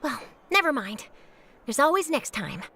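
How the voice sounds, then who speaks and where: A woman playing an eager young female news reporter in a video game, brisk and nosy with rapid questions. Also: sits high, sing-song, vocal fry, bright, forceful tone, a little hiss or hum, heavily acted. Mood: curious. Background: game music.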